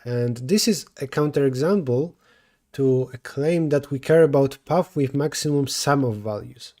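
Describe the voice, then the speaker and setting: Mellow-voiced Polish man thinking aloud. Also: medium pitch, moderate pace. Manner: thinking aloud, self-correcting mid-sentence. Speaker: streamer solving coding problems. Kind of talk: live coding stream, answering chat.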